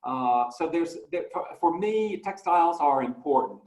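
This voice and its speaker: A man presenting his artwork in a talk, speaking slowly and reflectively with many fillers and restarts. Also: fairly high pitch, lively intonation, a little mellow, echoey room, poor audio. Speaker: guest artist.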